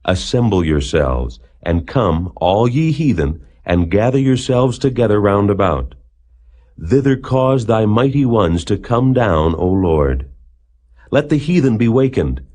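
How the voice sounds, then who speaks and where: A man with a slightly low voice reads scripture aloud as a narrator. His delivery is solemn and declamatory, rising and falling widely across carefully measured phrases. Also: a little mellow. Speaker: Bible narrator.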